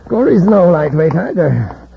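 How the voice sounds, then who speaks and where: An actor playing a calculating, smug leader of space thieves in a 1950s radio drama, giving orders in a commanding way on a muffled old recording. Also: male voice, on the high side, creaky, background noise, full character voice.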